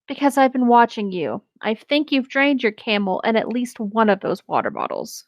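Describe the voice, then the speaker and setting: A woman's voice: on the high side, very animated, moderate pace, no room sound, highs cut off. A woman co-hosting a casual story reading, reading lines and adding teasing banter. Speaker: co-host reading story parts.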